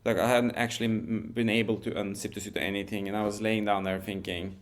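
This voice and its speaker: A man, telling a story as a podcast guest in a relaxed, measured way with frequent restarts. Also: lowish voice. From Norway. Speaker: guest, wingsuit pilot.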